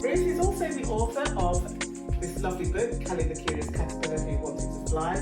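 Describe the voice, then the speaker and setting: A woman hosting an interview podcast, introducing her guest and asking measured questions. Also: sits low, roomy sound, distant mic. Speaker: podcast host.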